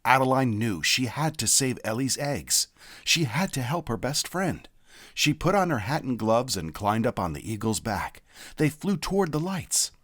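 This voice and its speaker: A man reading a children's picture book aloud as a storyteller, with a deliberate, expressive delivery. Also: medium pitch. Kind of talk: scripted read-aloud narration.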